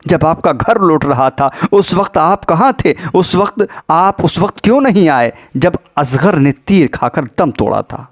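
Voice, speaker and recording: A man's voice: fairly high pitch, lively intonation, hardly any pauses. A man voicing a grief-stricken sister in a religious lament, pleading and reproaching with anguished repetition. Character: grief-stricken pleading sister guarding her slain brother.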